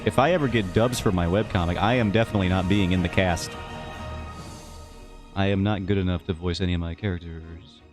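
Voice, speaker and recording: Guy streaming his art, low voice, rambling and joking about himself, faint music behind. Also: fairly even intonation, fairly quick. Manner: rambling, self-mocking and jokey. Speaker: streamer and webcomic artist. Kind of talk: casual chat while drawing on stream. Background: faint background music.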